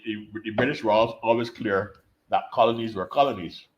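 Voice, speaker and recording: Man, low voice, explaining. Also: fairly even intonation. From Barbados. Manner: measured, explanatory, repeats words while thinking. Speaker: invited speaker, political scientist. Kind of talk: conference talk over video call. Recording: dull lo-fi call audio.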